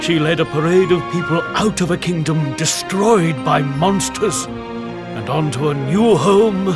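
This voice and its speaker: A grandiose, big-hearted ringmaster from a video game acted by a man speaks over loud music. His voice sits high for a man. He takes his time over florid phrases like a born showman. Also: measured pace, lightly acted. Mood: sincere, reflective. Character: portly, theatrical, warm-hearted male circus ringmaster. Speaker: circus ringmaster. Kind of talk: video game cutscene acting.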